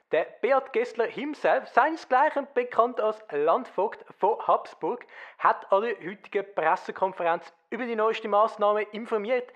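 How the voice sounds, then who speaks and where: An actor playing a breezy local radio news presenter in a parody broadcast, with chirpy patter and a Swiss German accent. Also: male voice, high-pitched, fairly bright tone. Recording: dull lo-fi radio sound.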